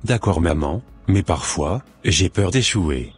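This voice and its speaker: A man's voice: deep voice, animated, takes it slowly, breathy edge, faint music behind, full character voice. A man playing a polite, obedient schoolboy son in a cartoon, speaking in a formal, deferential way. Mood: dutiful. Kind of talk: scripted cartoon dialogue.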